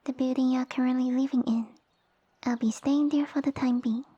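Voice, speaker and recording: Girl doing a sassy little lamia boss lady, asmr roleplay, soft breathy voice, really close and dry, bossy formal talk. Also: sits high, steady tone, no echo, highs cut off. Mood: commanding, smug. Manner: formal, imperious, measured phrasing. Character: small, sassy, haughty female lamia village ruler and boss. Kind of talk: ASMR roleplay audio addressed to the listener.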